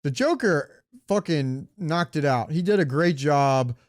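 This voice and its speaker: A man's voice: fairly high pitch, animated, a little slow, no echo, clean studio sound. A man chatting casually and enthusiastically as a podcast co-host, with some loose profanity. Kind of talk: casual pop-culture podcast chat.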